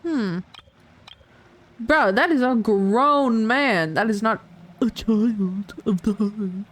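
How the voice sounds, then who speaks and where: A woman's voice: fairly high pitch, takes it slowly. A woman livestreaming a game with casual, blunt short reactions, often echoing the dialogue.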